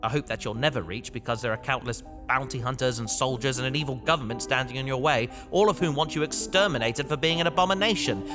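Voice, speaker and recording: A man playing an anguished young fugitive recording a journal in a sci-fi audio drama, brooding and halting. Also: medium pitch, natural voice. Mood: distraught. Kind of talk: science fiction audio drama monologue. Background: music.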